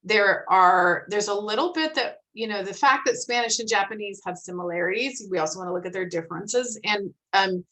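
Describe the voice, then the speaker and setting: A woman's voice: mid-range voice, fairly quick, few pauses, fairly bright tone, rough recording. A woman hosting an online group discussion in an encouraging, explanatory way, talking casually like an academic. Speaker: host of a phonetics club meeting, academic.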